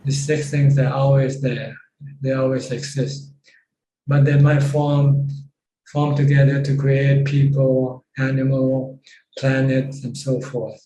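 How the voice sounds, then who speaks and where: A man with a Thai accent teaching as a Buddhist monk in an informal question-and-answer talk, halting and plain-spoken. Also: mid-range voice, monotone, mellow. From Thailand. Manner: halting, with pauses and repeated phrases. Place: video call from a roomy space. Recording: roomy distant mic with background noise.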